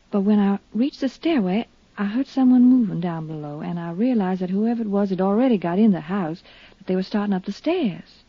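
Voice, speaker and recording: A young American woman, earnest and shaken but brave, with a husky medium-pitched voice. She sounds like fifties radio drama, close and dry on a dull old recording. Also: dry recording. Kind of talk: 1950s radio drama acting.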